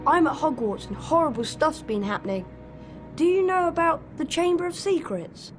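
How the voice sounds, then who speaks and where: An actor playing an earnest young schoolboy wizard with an English accent in a video game cutscene. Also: male voice, very high voice, animated, moderate pace, over music. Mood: curious.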